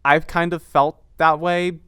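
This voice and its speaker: A man's voice: fairly high pitch, level tone, a little slow, fairly bright tone, smooth, dry recording, clean studio sound. A young man talking reflectively in an interview, earnest and searching for words, often repeating himself. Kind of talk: reflective interview talk about a production.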